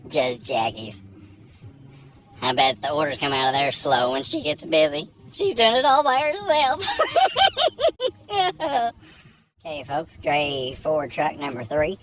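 A man rambles into a phone held at arm's length, playing a self-important tour guide. His pitch swings widely from line to line. Wind and passing cars hiss behind him on the outdoor phone recording. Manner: rambling, animated, talks to people off camera. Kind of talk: rambling comedic monologue to camera. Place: outdoors, by a lake, then indoors in a truck cab.

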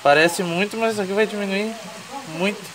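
A man's voice: high voice, fairly even intonation, a little fast, crowd noise. A man with a southern Brazilian accent giving a colloquial, jokey, explanatory cooking chat as a home cook. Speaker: home cook presenting a recipe. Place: home kitchen beside a sizzling pan. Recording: loud frying sizzle and stirring noise.

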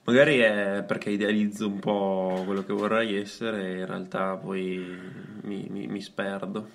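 A man in his twenties chatting casually as a radio co-host, in a halting, reflective way. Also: on the low side, flat delivery, vocal fry, a little hiss or hum. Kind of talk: casual chat.

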